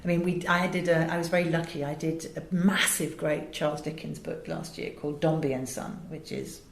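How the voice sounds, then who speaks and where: An Englishwoman speaks in a slightly low voice in a roomy, echoing space. She explains her craft as a director in articulate, conversational interview talk. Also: level tone, fairly quick, gravelly, slight background noise. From England. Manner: articulate, illustrates points with acted examples. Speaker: voice director and audiobook producer. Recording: roomy, echoey sound.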